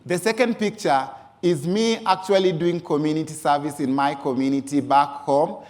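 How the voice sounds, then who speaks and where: Higher-voiced Kenyan man presenting. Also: normal speed, a little mellow. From Mombasa, Kenya. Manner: frequent emphatic use of "actually". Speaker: youth organization founder. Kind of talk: personal presentation with photo slides.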